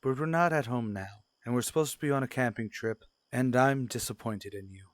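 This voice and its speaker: A man playing a stern, demanding father in an old-style radio drama, speaking formally and with measured admonishment. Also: medium pitch, dry recording, lightly acted. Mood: stern, disappointed. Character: stern, demanding 1940s father scolding his young son. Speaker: voice actor.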